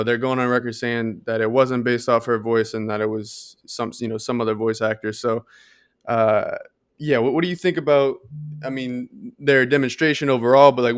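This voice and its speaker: A man co-hosting a podcast in casual discussion, thoughtful and measured as he reasons things through. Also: mid-range voice, smooth. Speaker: podcast co-host.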